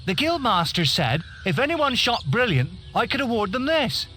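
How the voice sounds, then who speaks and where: An actor playing an excitable, easily amazed archery instructor in a video game, folksy and exclamatory. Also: male voice, very high voice, normal speed, faint music behind, full character voice. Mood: excited, amazed. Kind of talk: animated video game acting.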